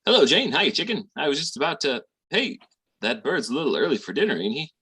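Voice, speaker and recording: A man playing a slangy, squeamish small-time schemer with a raspy voice in a 1940s-style radio comedy. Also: fairly high pitch, very animated, brisk, bright, forceful tone, full character voice. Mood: flustered.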